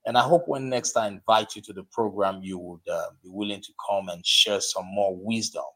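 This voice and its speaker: A man hosting a live podcast interview, urging his listeners in an emphatic, exhorting way and spelling out names slowly. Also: on the low side, bright, forceful tone. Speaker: podcast host. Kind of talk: motivational interview show.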